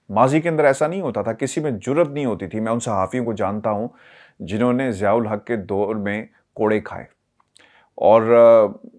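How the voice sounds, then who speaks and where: A man in his forties with a Pakistani accent, delivering earnest, deliberate political commentary to camera with rhetorical emphasis. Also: medium pitch. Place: small indoor studio, seated. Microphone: lapel mic.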